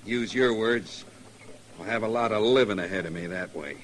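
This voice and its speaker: A man's voice: on the low side, normal speed, lightly acted. An American man playing a weary, proud former lawman and feared gunfighter in a 1950s Western radio drama. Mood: resigned. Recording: old radio recording with faint noise.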